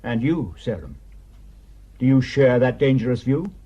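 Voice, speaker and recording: Husky, stern police superintendent. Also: male voice, mid-range voice, very animated, unhurried, warm tone, dull audio. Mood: probing. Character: stern, accusing male police superintendent, cornered and bitter. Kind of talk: radio drama acting.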